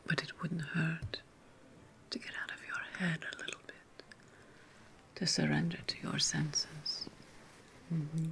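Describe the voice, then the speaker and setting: Woman as a slow-whispering ancient Roman witch hostess. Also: low voice, very animated, a bit bright, heavily acted. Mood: sly, coaxing. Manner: slow, breathy whispering with lingering hums. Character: Roman witch hostess, condescending, sly, seductive, at Saturnalia. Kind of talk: whispered ASMR roleplay.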